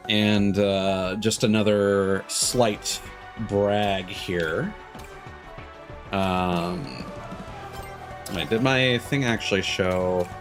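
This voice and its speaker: A man with a fairly low voice talks casually as a live streamer commentating a video game. He rambles, breaking off and restarting his sentences as he explains. Light game music plays behind him. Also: fairly even intonation, drawn-out words, pauses often. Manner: rambling, self-interrupting, frequent stops and restarts.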